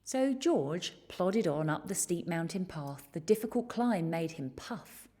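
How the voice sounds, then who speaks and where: Female children's storyteller, lowish and slightly husky, expressive, taking her time over picture-book narration. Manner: expressive, measured.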